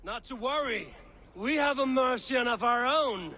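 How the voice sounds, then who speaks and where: An actor playing a steadfast Viking raider in a video game, blunt and resolute. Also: male voice, very high-pitched, animated, unhurried, pushed and bright, slight background noise, heavily acted. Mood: determined. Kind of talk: dramatic video game acting.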